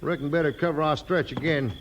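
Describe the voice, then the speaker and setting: A man's voice: fast talker, dull audio, lightly acted. A man playing an edgy, blustery valley sheriff, folksy and urgent, in a 1950s radio Western. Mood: tense. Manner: folksy, high-strung, urgent. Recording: noisy 1950s radio recording.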